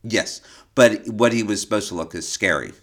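A man's voice: on the low side, moderate pace, a bit bright. A man co-hosting a podcast, explaining things knowledgeably in an informative, conversational way. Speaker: podcast co-host.

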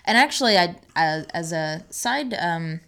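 A woman's voice: fairly low pitch, expressive, a little slow, bright, forceful tone. A woman co-hosting a podcast in a casual, chatty style, conversational and given to playful asides. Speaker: podcast co-host, archives manager.

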